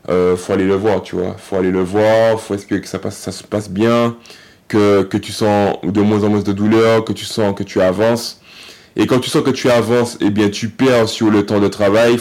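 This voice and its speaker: A man with a fairly low voice answers questions as a podcast guest and talks about his sport. He keeps stopping to restart his sentences and often tags them with a conversational "you see". The delivery is loose and unscripted. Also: darkish tone. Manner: halting, many fillers and restarts, says "tu vois" often. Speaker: athlete guest. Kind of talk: casual podcast interview.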